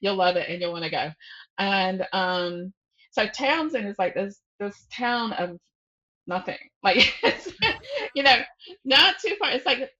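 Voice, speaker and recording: A woman's voice: mid-range voice, expressive, measured pace, sharp tone. Woman chatting casually, slightly dull audio. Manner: informal, self-interrupting, thinking aloud. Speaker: guest novelist. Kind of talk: casual podcast interview.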